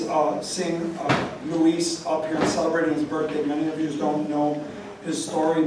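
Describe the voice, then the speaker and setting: A man's voice: a little high, recorded from a distance. A man moderating a community meeting, speaking informally to the public in short, emphatic phrases. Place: large echoey meeting room. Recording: very echoey, noisy room from afar.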